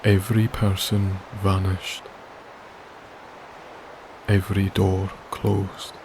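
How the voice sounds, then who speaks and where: A man narrates a sleep story in a soft, soothing voice, low and nearly flat in pitch. He speaks very slowly, leaving long pauses between phrases. A steady background hiss sits under his voice. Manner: slow, soothing, gentle delivery with long pauses. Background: wilderness ambience.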